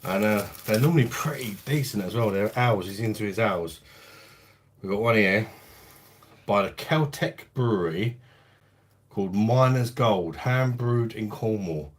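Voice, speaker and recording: Bloke, deep lowish voice, laid-back beer-stream host, swears and laughs a lot. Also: male voice, lively intonation, unhurried. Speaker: beer reviewer hosting a live stream. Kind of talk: casual live-stream chat while drinking. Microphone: phone.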